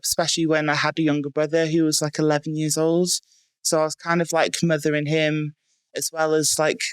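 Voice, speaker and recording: A Welsh woman in her twenties, a strength athlete, talking as a podcast guest in a relaxed, matter-of-fact way with many ums and likes. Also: low-pitched, clean tone, no echo. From North Wales. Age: 20s. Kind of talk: casual podcast interview. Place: small dry room in a house.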